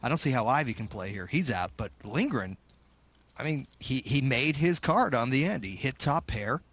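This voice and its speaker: A man's voice: medium pitch, expressive, moderate pace, fairly bright tone. A male poker analyst with a mid-range voice who breaks down the odds of every hand. His commentary is analytical and conversational.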